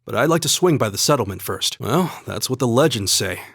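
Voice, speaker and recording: Calm polite young student warrior from a video game, earnest, pitch moves around a lot, really dry recording. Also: male voice, a little fast, gravelly, breathy edge, no room sound, exaggerated voice. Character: calm, earnest, courteous young male student fighter from highlands. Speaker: video game character voice actor.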